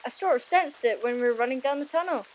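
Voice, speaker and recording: A woman's voice: high-pitched, fast, a little hiss or hum, lightly acted, poor audio. A woman voicing an earnest young Viking boy hero who explains things plainly in an audiobook drama. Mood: earnest, wondering. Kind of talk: audiobook drama character acting.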